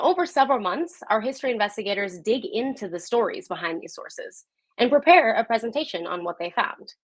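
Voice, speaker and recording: A woman's voice: fairly high pitch, a bit bright, no echo. A woman presenting as host of a live program, reading a prepared introduction in a welcoming, presenter-like way. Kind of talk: scripted live show introduction.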